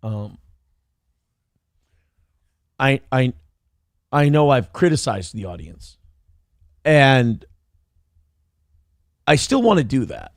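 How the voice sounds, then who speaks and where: A man's voice: mid-range voice, big pitch swings, slow, pauses often, no room sound, clean studio sound. A man hosting a morning show, bantering casually and profanely, rambling and exasperated as he reads out donor messages.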